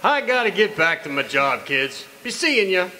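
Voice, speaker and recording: An actor playing a jaunty, folksy old-timer who jokes in slangy talk in an old-style radio comedy. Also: male voice, high voice, big pitch swings, sharp tone, heavily acted, poor audio. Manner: folksy, slangy, drawn-out punchlines. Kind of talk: 1940s radio comedy acting, live re-creation.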